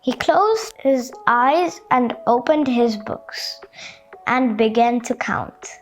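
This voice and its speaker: A woman reading a storybook aloud to children, with expressive, carefully phrased narration. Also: fairly high pitch, slow pace, a little hiss or hum, poor audio.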